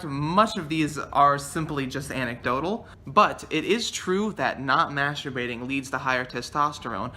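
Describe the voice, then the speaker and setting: A man's voice: fairly high pitch, pushed and bright, slight background noise. A man in his twenties talking casually to camera from a small bedroom, earnest and thinking aloud with frequent ums. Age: 20s. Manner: gestures a lot with his hands. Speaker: vlogger.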